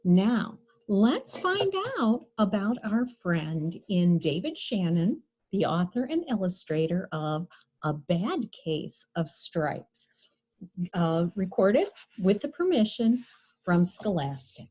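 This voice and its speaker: A woman hosting a storytime, reading a picture book aloud to young children in an expressive, deliberate way and addressing them directly. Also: mid-range voice, slightly rough, rough recording. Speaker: children's librarian, storytime host.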